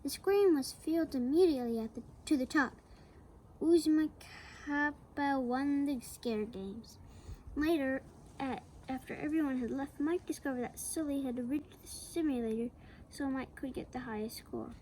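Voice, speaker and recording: Lady reading a kids book out loud, high pitched, chatty little asides to the listener. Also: normal speed, a little hiss or hum, poor audio. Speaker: children's book reader. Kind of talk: read-aloud storytelling for kids.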